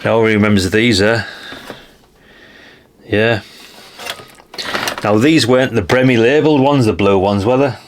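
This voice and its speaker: A middle-aged man with a fairly low voice talks in a loose, unscripted way. He is chatty and mildly sweary, often ending on a tag question. The recording has some noise and clicking behind him. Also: a little slow, pauses often. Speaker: host of a retro radio collecting channel. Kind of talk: casual unscripted show-and-tell chat.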